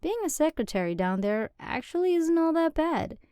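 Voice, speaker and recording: A woman's voice: high-pitched, big pitch swings, moderate pace, mellow, no echo, clean studio sound. A woman playing a chatty, affectionate demon girlfriend. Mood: playful. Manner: rambling, affectionate, sometimes whispering. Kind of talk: ASMR girlfriend roleplay.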